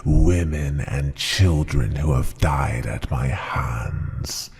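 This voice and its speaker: Slow, gravelly, bottomless-voiced dragon tyrant. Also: male voice, a little mellow, heavily acted. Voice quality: deep gravelly growl, breathy at times. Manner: pompous, menacing, deliberate. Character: ancient tyrannical male dragon ruler, contemptuous of humans. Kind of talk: ASMR roleplay, slow theatrical monologue.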